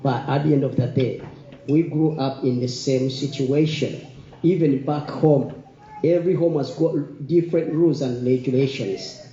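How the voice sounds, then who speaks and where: A man's voice: medium pitch, steady tone, normal speed, mellow. A middle-aged Ugandan man, a native Luganda speaker, giving an earnest, opinionated informal speech to a gathering. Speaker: community event speaker. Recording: muffled, echoey room recording.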